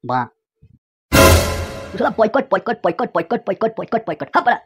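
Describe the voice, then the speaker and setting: A woman voicing an angry, swaggering man in a comic cartoon dub, ranting with slangy tags in a husky, rough voice. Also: medium pitch, lively intonation, fairly quick, loud music behind the voice, lightly acted.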